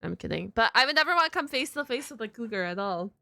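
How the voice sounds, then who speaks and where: Bright, high-voiced woman reacting casually. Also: fast, gravelly, slight background noise. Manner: exclamatory, slangy, expressive. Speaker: streamer reacting to a video. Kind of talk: casual live-stream reaction chat.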